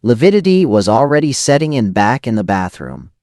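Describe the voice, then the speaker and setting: A man playing a hardboiled noir narrator, highly animated. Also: a little fast, dry recording, studio quality. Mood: shaken, tense. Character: hardboiled, rattled forger of false evidence, cynical first-person narrator. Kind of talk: scripted noir thriller audio drama narration.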